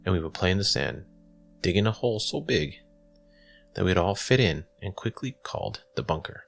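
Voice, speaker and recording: A man's voice: sits low, animated, normal speed, vocal fry, soft background music. A man reading a first-person true ghost story as a narrator. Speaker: guest story reader.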